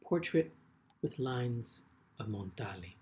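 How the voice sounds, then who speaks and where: A man giving a literary reading as a poet, with deliberate, measured phrasing and frequent pauses. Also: medium pitch, expressive, darkish tone. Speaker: poet reading own work. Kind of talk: literary poetry reading with brief spoken introductions.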